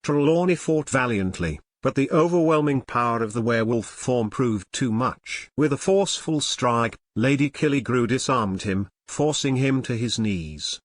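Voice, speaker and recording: Guy narrating an adventure audio drama, medium pitch, dry close studio sound, scripted dramatic read. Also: lively intonation, moderate pace, few pauses, dry recording.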